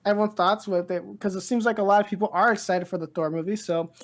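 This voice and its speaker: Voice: mid-range voice, steady tone, fast. A podcast co-host chatting casually about pop culture, rambling and often repeating phrases.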